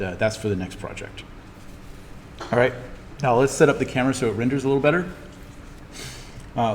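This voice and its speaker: A man giving a casual, easygoing software tutorial as an instructor, thinking aloud as he explains each step. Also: medium pitch. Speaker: instructor teaching a 3D animation class. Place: indoors, echoing classroom. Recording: reverberant room with background noise.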